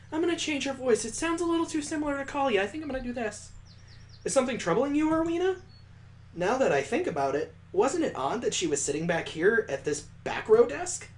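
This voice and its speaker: A man's voice: high-pitched, a little hiss or hum. A man in his twenties reading a visual novel aloud, switching between dramatic narration and casual asides. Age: 20s.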